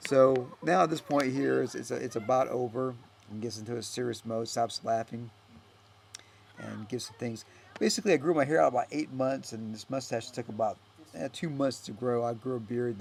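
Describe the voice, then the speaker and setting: A man giving a casual, unscripted director's commentary as a filmmaker, rambling and halting as he goes, often trailing off. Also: medium pitch, creaky, slight background noise. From United States.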